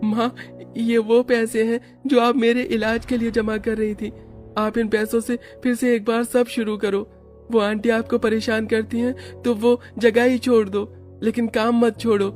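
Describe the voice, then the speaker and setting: High-voiced gentle little boy played by a man. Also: little pitch movement, a little slow, faint music behind. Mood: earnest, comforting. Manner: earnest, soothing, polite. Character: gentle sick young boy comforting his mother.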